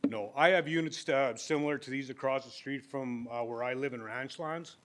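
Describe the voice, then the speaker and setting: A man's voice: moderate pace, fairly bright tone. An older man presenting an application at a council hearing, speaking plainly into a podium microphone. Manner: plainspoken, booming at times. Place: council chamber.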